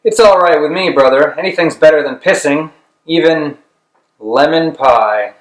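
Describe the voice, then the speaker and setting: A man's voice: on the high side, normal speed, close to an everyday voice. A man voicing a mocking, swaggering teenage older brother in an audiobook, with a sly, teasing manner. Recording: roomy, echoing room sound.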